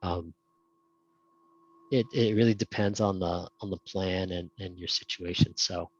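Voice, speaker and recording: A man answers questions in a casual webinar, his voice on the low side. He hesitates a lot, filling gaps with ums and restarting sentences. The audio is dry and a little muffled, typical of a video call. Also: fairly even intonation, measured pace, husky, no room sound. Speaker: guest speaker on insurance.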